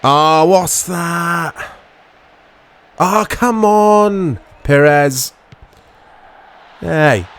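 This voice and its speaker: A man giving casual commentary on a football video game in short reactive fragments, his voice strained and a little hoarse. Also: on the high side, very slow, pauses often, fairly bright tone. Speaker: gaming channel host.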